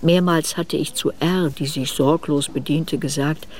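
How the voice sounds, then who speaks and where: A woman reading scripted literary narration for a radio play in a measured, reflective way. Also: low voice, steady tone, a little mellow.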